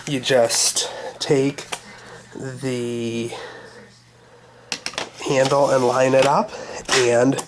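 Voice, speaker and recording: A man with a cold, sounding congested, talking through an unboxing at home in a halting, unscripted way with many pauses and fillers. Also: medium pitch, little pitch movement, background noise. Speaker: vacuum hobbyist doing an unboxing.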